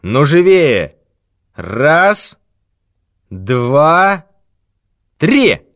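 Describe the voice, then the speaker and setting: A man's voice: a little high, very animated, drawn-out words, dry recording, studio quality, pitched above the performer's own voice, exaggerated voice. A man doing a snarling, sneering robber. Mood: threatening. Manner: shouting threats, sneering. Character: menacing red-haired male robber, brutal and mocking.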